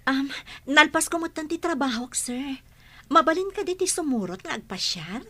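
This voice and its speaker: A woman playing a sociable working mother and aunt figure in a radio drama, with an expressive, coaxing way of talking. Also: sits high, normal speed, no echo. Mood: friendly, deferential. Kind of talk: Ilocano radio drama acting.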